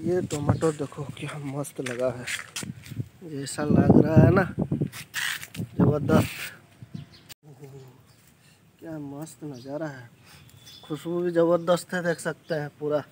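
A man's voice: fairly high pitch, steady tone, unhurried, pauses often, very noisy. Chatty young man filming his garden. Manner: chatty, enthusiastic, addresses viewers directly. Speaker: vlogger. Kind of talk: casual vlog walkthrough. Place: outdoor garden and vegetable plot. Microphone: phone held at arm's length.

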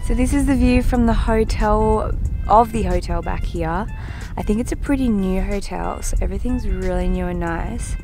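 A woman's voice: mid-range voice, normal speed. A young woman in her twenties talking casually and upbeat to a handheld camera while travelling outdoors. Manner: chatty, upbeat, breezy. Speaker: travel vlogger. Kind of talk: casual travel vlog narration. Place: outdoors at resorts and landmarks. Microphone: handheld camera at arm's length. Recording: loud music bed underneath.

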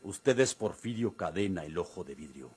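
An actor playing a grave, authoritative Mexican priest in a radio drama, speaking in a measured, formal way. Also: male voice, deep voice, animated, a little mellow, husky, full character voice. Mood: stern, accusing. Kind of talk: golden-age radio drama acting.

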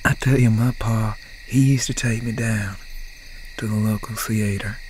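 A man playing an obsessed, menacing country slasher boyfriend in an ASMR roleplay, speaking in a breathy, halting, often whispered voice with folksy phrasing. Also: on the low side, fairly even intonation, close to an everyday voice. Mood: wistful, sinister. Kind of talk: ASMR roleplay, slow and intimate. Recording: noisy night ambience with crickets, owl. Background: crickets, insects and an owl.

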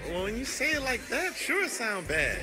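An actor playing an easygoing, swaggering pimp gangster in a video game, with slangy drawn-out speech. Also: male voice, very high-pitched, huge pitch swings, heavily acted. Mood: breezy, cocky. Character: cocky, easygoing male street pimp gangster. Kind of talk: video game cutscene acting. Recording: loud club music over the voice.

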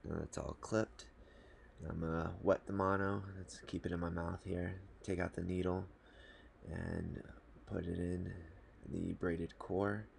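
A man calmly explaining a fishing knot step by step at a desk indoors. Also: deep voice, gravelly, slight background noise. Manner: calm, matter-of-fact, unhurried. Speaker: fly fishing tutorial host. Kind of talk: step-by-step instructional talk. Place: small indoor room at a desk.

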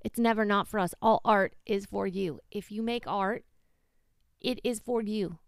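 A woman's voice: mid-range voice, steady tone, unhurried, creaky, clean tone, no room sound, clean studio sound. A woman co-hosting a casual podcast at home, earnest and playful, pushing back in friendly banter. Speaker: podcast co-host. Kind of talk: casual chat. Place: home room.

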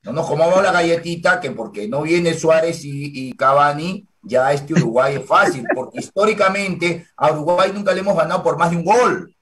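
A man's voice: on the high side, moderate pace, few pauses, a bit bright. A man in his forties hosting a sports show from a home room over a video call, arguing firmly and insisting on his turn to speak. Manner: firm, argumentative, claims the floor. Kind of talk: heated sports debate. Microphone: video call webcam.

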